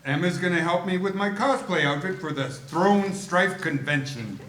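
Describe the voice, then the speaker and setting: Nerdy bossy policeman, high pitch, roomy room. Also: moderate pace, fairly bright tone, distant mic. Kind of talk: live table read. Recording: echoey hall with noise.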